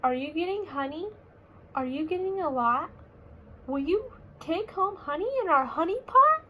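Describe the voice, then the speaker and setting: A woman's voice: extremely high pitch, lively intonation, moderate pace, highs cut off, a little hiss or hum, voice pushed up. A woman voicing a curious, eager little bear cub from a children's storybook, full of sing-song questions.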